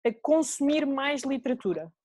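A woman's voice: high voice, animated, normal speed, darkish tone, dry recording. Chatty Portuguese woman, close dry sound. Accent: European Portuguese. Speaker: book podcast co-host. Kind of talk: casual chat about books.

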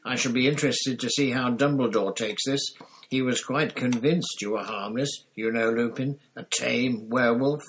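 A man's voice: fairly low pitch, unhurried, a bit bright, a little hiss or hum, low-quality recording. A man voicing a sneering, vengeful male professor who speaks in a silky, spitting, menacing way, in an audiobook. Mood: gloating. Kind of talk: audiobook character voice.

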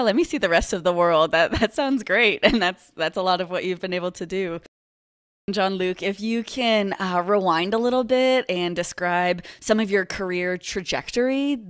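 A woman hosting a podcast interview, with measured, gracious introductions and questions. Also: on the low side, clear, resonant tone, no echo, clean studio sound. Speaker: podcast host.

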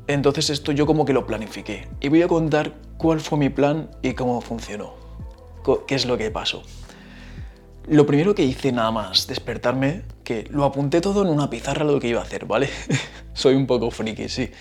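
A man's voice: mid-range voice, moderate pace, soft background music. A man with a Madrid Spanish accent, hosting a casual solo podcast, chatty and explaining things with asides. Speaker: podcast host.

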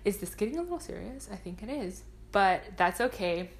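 A woman's voice: medium pitch, expressive, brisk. A woman in her twenties whose first language is Spanish, hosting a solo podcast in a rambling, self-deprecating way, swearing freely and drifting into tangents. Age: 20s. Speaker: podcast host. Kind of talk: casual solo podcast monologue.

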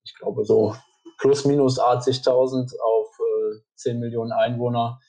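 Flat-voiced Belgian biathlete guest. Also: male voice, medium pitch, unhurried, low-quality recording. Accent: German-speaking East Belgium. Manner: relaxed, even delivery with frequent fillers. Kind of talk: interview answers.

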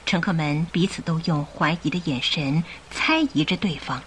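A woman narrating a radio drama in an expressive, suspenseful storytelling style. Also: sits low, normal speed, slight background noise. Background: music.

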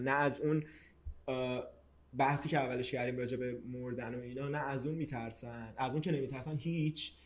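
Man chatting casually, medium-pitched voice. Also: darkish tone. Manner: mixes English loanwords into casual slangy speech. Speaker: podcast host. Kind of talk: casual conversational podcast chat.

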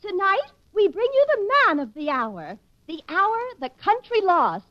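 Brief: naive girlish American radio sidekick, piercingly high, bright, earnest singsong. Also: wildly swooping pitch, unhurried, heavily acted. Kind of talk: 1940s radio comedy acting. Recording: muffled 1940s radio broadcast.

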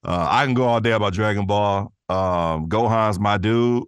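Low-voiced man in casual podcast chat. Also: normal speed, clean tone, dry recording, studio quality. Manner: informal, slangy, rambling. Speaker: podcast guest, voice actor and artist.

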